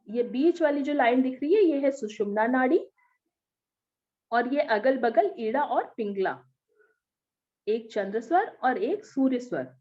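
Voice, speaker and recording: A woman with a high, lively voice teaches an online class, explaining step by step and often checking that her listeners follow. Her throat is slightly hoarse. The audio is dull and lo-fi, like a video call. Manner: explanatory, often asks "ठीक है?" to check understanding. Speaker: Reiki teacher.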